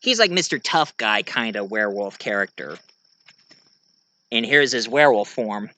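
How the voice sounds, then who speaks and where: A man giving a casual show-and-tell of his own fiction, rambling and halting mid-sentence to correct himself. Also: fairly low pitch, expressive, pushed and bright. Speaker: amateur writer and online video creator.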